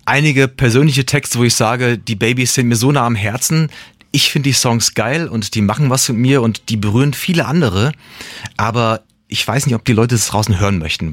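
A man's voice: medium pitch, dry recording. A man, a singer-songwriter and native German speaker, talking about his music in a casual, reflective way, with many restarts and the odd laugh. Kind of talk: casual interview talk.

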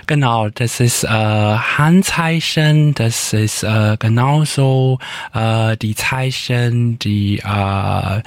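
A young man from Taiwan speaking German as a second language with a Taiwanese accent in a radio interview, pausing often to search for words. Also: mid-range voice, no echo, studio quality. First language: Taiwanese Hokkien and Mandarin. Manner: halting, many hesitations, searching for words. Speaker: interview guest.

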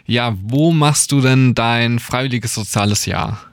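A man's voice: mid-range voice, a little slow, no echo, clean studio sound. A man of twenty presenting a radio segment and interviewing a guest in an upbeat, friendly way. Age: 20s.

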